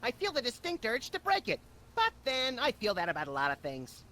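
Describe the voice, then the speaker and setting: An actor playing a manic, gleefully destructive rabbit in a video game, tossing off sardonic quips. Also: male voice, extremely high pitch, huge pitch swings, fairly quick, pushed and bright, full character voice.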